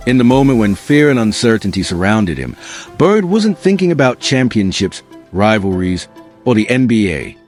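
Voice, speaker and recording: Expressive low-voiced male documentary narrator. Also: moderate pace, faint music behind. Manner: earnest, dramatic storytelling.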